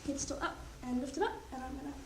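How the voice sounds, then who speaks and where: A young woman in a paramedic practical exam, calmly talking a patient through each step while losing her voice. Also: high-pitched, rapid-fire. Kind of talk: narrating a clinical skills demonstration. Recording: echoey, distant, noisy room.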